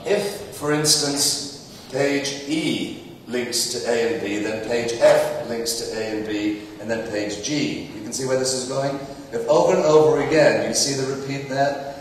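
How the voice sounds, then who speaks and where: A man presenting to a conference audience, projecting loudly and filling pauses with ums. He sounds distant from the mic, and his voice rings through a large hall with a long echo. A faint hiss sits underneath. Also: mid-range voice. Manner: loud, energetic presenter with fillers. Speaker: conference speaker. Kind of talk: conference talk on search. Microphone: distant, mic trouble. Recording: echoey hall from far off.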